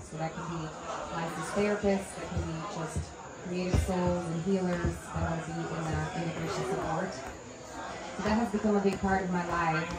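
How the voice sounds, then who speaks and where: A young woman from Brazil with a Brazilian Portuguese accent, telling personal stories and asking questions as she leads a workshop circle. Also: on the low side. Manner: conversational, personal storytelling with audience questions. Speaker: integration coach and workshop facilitator. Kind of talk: talk to a live workshop circle. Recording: echoey room with loud crowd. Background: loud crowd noise.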